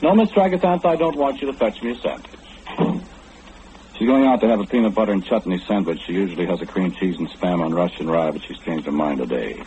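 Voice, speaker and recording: A man's voice: deep voice, mellow. An actor playing a smug, impatient, all-knowing businessman in an old-time radio horror play, with fussy, precise diction and a pompous manner. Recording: muffled, noisy old-time radio recording.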